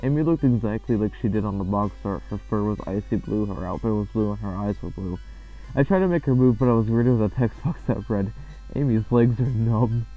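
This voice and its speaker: A man's voice: lowish voice, lively intonation, brisk. A man narrating a creepy horror story in a dramatic reading style. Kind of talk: dramatic reading of a creepypasta, with casual reaction after. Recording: muffled, lo-fi, loud music behind. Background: eerie game music.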